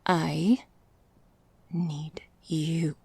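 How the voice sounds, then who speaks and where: A woman playing an ancient, possessive vampire mistress in an ASMR roleplay, speaking in a breathy, husky half-whisper with deliberate, formal phrasing. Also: sits low, flat delivery, mellow, no room sound, full character voice. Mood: tormented, intense. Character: female vampire mistress, ancient, possessive, seductively menacing, melancholy. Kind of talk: ASMR roleplay, intimate dramatic monologue.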